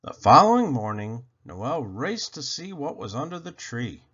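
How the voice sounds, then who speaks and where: A man reading a children's picture book aloud in a slow, expressive storytelling voice. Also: medium pitch, breathy edge. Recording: dull lo-fi sound.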